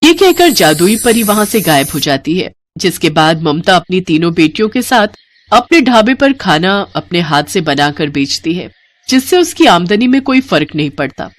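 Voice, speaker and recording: A woman's voice: lowish voice, big pitch swings, normal speed. A woman narrating a scripted Hindi moral story as its storyteller.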